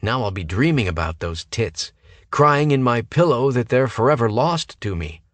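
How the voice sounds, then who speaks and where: A man's voice: mid-range voice, expressive, normal speed, no room sound, voice pushed up, close to an everyday voice. A man voicing a whining, lecherous young man. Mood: whiny. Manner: whining, loud.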